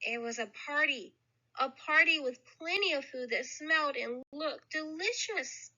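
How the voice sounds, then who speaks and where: Lively woman reading a children's story. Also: high voice, a little slow, muffled recording. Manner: playful, acts out sounds and exclamations. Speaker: library storyteller. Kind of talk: reading a picture book aloud to children.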